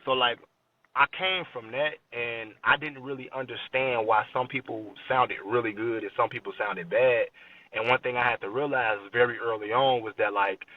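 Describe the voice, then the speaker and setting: A man's voice: mid-range voice, dry recording. Man, late twenties, American accent, rap artist interviewee, casual slang, lo-fi distant recording. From Chicago, USA. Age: late 20s. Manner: informal, slangy, frequent check-in tags. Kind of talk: casual podcast interview chat. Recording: dull lo-fi sound, distant from mic.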